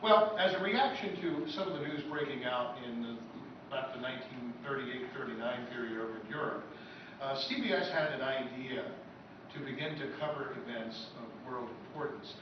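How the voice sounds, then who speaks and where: Echoey, distant man giving an informal talk. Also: mid-range voice. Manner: off-the-cuff, halting delivery with asides. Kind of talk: informal convention lecture. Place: echoey convention room, live talk. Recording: very echoey room, distant mic, dull, noisy.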